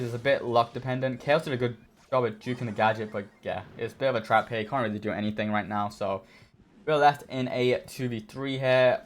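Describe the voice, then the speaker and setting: A man with a hoarse, worn voice casually commenting on his own match, easygoing and rambling with many fillers. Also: medium pitch. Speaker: esports player reviewing his own match.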